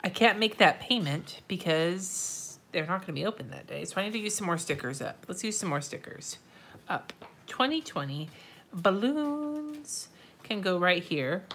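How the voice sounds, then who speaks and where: A woman's voice: lowish voice, lively intonation, moderate pace, a bit bright, a little hiss or hum. Congested nasal woman chatting on a livestream. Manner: chatty, muttering to herself, swears freely, answers chat. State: congested, nasal from a stuffy nose. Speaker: planner livestream host. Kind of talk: casual livestream chat while decorating a planner. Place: indoors at a desk.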